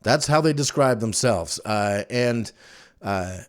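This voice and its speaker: A man's voice: mid-range voice, lively intonation, slow pace, clean tone. A man hosting a podcast, telling stories casually in an informal, wry and amused way. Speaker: podcast host. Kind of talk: casual rambling storytelling to listeners.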